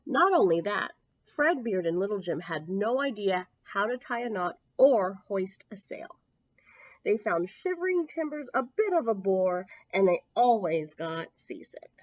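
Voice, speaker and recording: Woman, children's storyteller, medium pitch, expressive emphasis, stretched key words, dull close recording. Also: a little slow, no room sound. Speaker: children's storyteller at a public library. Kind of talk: expressive picture-book read-aloud for young children. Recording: dull lo-fi, close dry sound.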